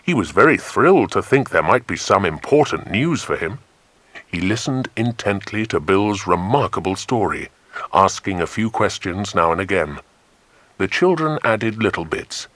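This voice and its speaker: A man's voice: on the low side, very animated, moderate pace, fairly bright tone, no echo. A British man narrating a dramatised children's adventure audiobook.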